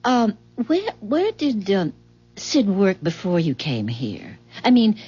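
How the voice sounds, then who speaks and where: An actress playing a polite, sociable young wife in a 1970s radio drama, talking in a courteous, gently inquisitive way. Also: female voice, mid-range voice, huge pitch swings, slow, slightly rough, exaggerated voice. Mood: curious. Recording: dull, muffled 1970s radio broadcast recording.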